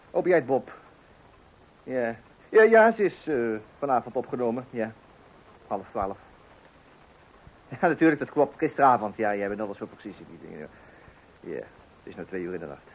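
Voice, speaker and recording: A man's voice: medium pitch, low-quality recording. Flustered father, voice swinging widely. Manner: hesitant, stammering, exasperated. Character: flustered, weary father awaiting a birth at night. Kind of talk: radio play acting.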